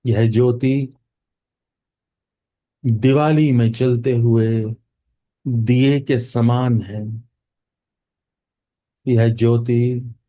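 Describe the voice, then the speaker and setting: A man with an Indian accent guides a meditation at an extremely unhurried pace. His voice is mellow and relaxed, with a middling pitch. He speaks soothingly, leaving long pauses between short phrases.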